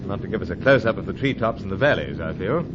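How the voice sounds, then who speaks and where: A muffled recording with engine noise carries a British gentleman secret agent from a radio adventure serial. He is hearty, polite and unflappable, with a very animated, swooping delivery. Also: low voice, fast. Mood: wary, polite. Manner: plummy old-school politeness, chummy. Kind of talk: old-time radio adventure serial acting and narration.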